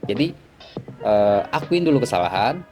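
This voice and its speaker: A middle-aged man, a forex trading mentor, talking in a relaxed, casual interview and explaining with everyday examples, dropping English words into his talk. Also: fairly low pitch, normal speed. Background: background music.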